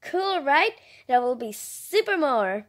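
Female, very high voice, unhurried pace, childlike and enthusiastic, reads picture books aloud with chatty asides. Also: big pitch swings. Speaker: storybook reader and host.

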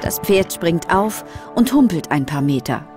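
A woman's voice: fairly low pitch, expressive, a little slow, darkish tone, soft background music. A woman narrating a scripted children's audio drama as a storyteller.

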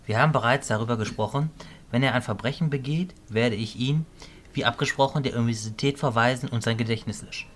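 A man playing an earnest wizard teacher in an amateur fantasy audio drama, speaking in a measured, sincere way. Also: mid-range voice, a bit bright, slight background noise, natural voice. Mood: puzzled, firm. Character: earnest wizard teacher, loyal to his pupil.

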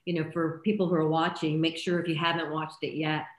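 A woman's voice: sits low, little pitch movement, a little fast. A middle-aged woman moderating a casual panel interview over a video call, with a roomy sound. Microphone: computer mic on video call.

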